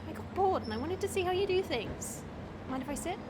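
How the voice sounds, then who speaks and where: A woman's voice: very high voice, animated, fairly quick, a little mellow, slight background noise. A woman playing a playful, bold young roommate in an audio drama. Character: playful, bold young female roommate nicknamed baby bird. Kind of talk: audio roleplay drama acting.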